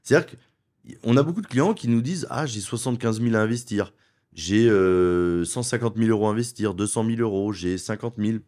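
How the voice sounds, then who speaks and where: A middle-aged man from France speaks with a somewhat low voice. He argues his points persuasively in a studio interview, stacking them in quick runs. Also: a little mellow. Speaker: wealth advisor and property investment guest. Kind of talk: conversational interview.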